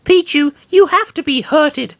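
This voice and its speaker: A proud, solemn beast-warrior lectures in a strained falsetto that sits far above a man's normal range. He speaks in stiff, broken phrases with grave self-importance. The recording is dry and close. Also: a little slow, gravelly, a bit bright, no echo, exaggerated voice. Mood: lecturing. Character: proud, solemn male jackal-like fighter creature. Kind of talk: comic dramatic reading of fan fiction.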